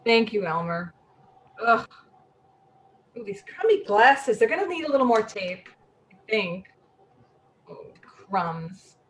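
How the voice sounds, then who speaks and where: A woman's voice: mid-range voice, big pitch swings. Woman acting an anxious, flustered middle-aged woman. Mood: exasperated. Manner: halting, repeating words, fretful. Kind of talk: play reading.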